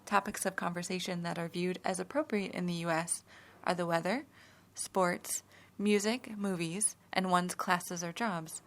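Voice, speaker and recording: A woman giving scripted, measured narration that explains ideas step by step. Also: fairly low pitch, fairly even intonation, fairly bright tone.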